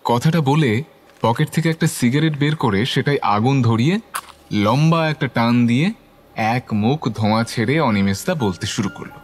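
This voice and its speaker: An actor playing a curious young club member who narrates a ghost story in the first person, with scripted dialogue. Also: male voice, mid-range voice, moderate pace, lightly acted. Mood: observant, curious.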